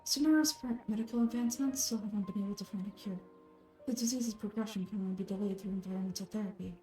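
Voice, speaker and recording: A woman voicing a gentle, frail young woman in a fantasy game, speaking in a soft, measured, wistful and earnest way. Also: mid-range voice, faint music behind, lightly acted. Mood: resigned, tender.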